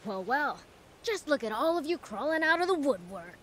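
A woman's voice: sits high, big pitch swings, moderate pace, fairly bright tone, a little hiss or hum. A woman playing a small, cocky young male demon prince in a video game, brash and boastful. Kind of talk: video game cutscene acting.

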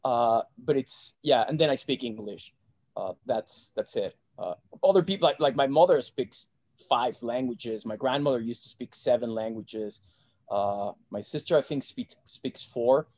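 A man's voice: mid-range voice, measured pace, no echo. A middle-aged man from Mexico, a guest talking casually about his life over a video call from a home office. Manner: relaxed, chatty, frequent restarts. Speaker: guest, investment bank founder. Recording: dry video call audio.

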